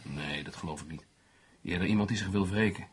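Low-voiced skeptical journalist, husky. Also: male voice, fairly quick. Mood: pondering, analytical. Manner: sardonic, reasoning aloud. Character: skeptical, teasing male newspaper journalist, analytical amateur sleuth. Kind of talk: Dutch radio play acting.

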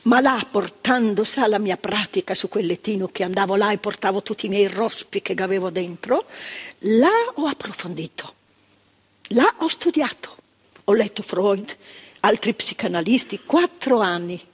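An older actress, playing a retired psychiatric worker in a stage monologue, talking emphatically with repetitions and asides to the listener. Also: female voice, medium pitch, animated, normal speed, slightly rough. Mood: reflective. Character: retired female psychiatric worker, proud, impassioned, reflective. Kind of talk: theatre monologue. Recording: stage recording with long reverb.

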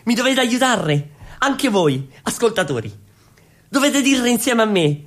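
A man's voice: very high voice, very animated, measured pace, a bit bright, exaggerated voice. A man playing a hapless, earnest, clownish revolutionary in theatrical comic radio storytelling, exclamatory and repetitive. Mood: sheepish, coaxing. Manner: exclamatory, repetitive, pleading with the listeners. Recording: music under the voice.

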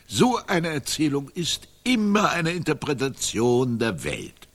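An actor playing a pedantic medieval knight and self-styled poet, pompous and didactic, in a comic radio play. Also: male voice, on the high side, huge pitch swings, measured pace, a bit bright, heavily acted. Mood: lecturing.

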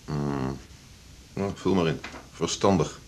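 An actor in a radio drama playing a curt, authoritative senior hospital doctor with a brusque manner. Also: male voice, rumbling low, slow pace, exaggerated voice. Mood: curt, cool. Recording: faint background noise.

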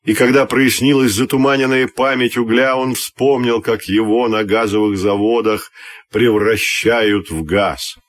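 A man narrating a scripted fairy tale for children's radio in an expressive, theatrical storyteller's manner. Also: fairly low pitch, a little slow.

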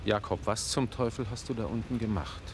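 An actor playing an impatient, demanding gentleman master in a radio play, with an irritable manner. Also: on the low side, brisk, background noise, natural voice. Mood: testy curiosity.

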